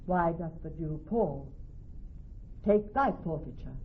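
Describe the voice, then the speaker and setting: A woman playing a clever young woman posing as a male judge in classical radio drama, speaking in a measured, formal, authoritative way. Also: low voice, animated, a little hiss or hum. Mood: stern, commanding. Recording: thin, narrow-band old radio broadcast.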